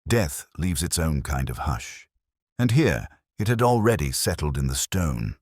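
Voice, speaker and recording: A man narrating a detective story in the first person, with a measured, brooding and reflective delivery. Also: rumbling low, sing-song, darkish tone, breathy edge, no room sound, clean studio sound.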